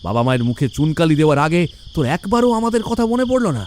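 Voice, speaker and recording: A man's voice: a little high, big pitch swings, very fast, darkish tone, a little hiss or hum. A man voicing the stern, vengeful ghost of a murdered father in a cartoon. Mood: scolding. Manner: stern, scolding. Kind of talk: Bengali cartoon voice acting.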